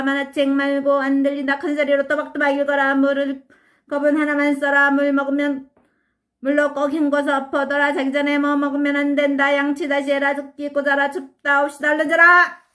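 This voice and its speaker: A woman's voice: sits high, monotone, a bit bright, pitched above the performer's own voice. A woman voicing a strict, nagging father in a storybook reading, firing off one scolding order after another. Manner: rapid-fire scolding commands.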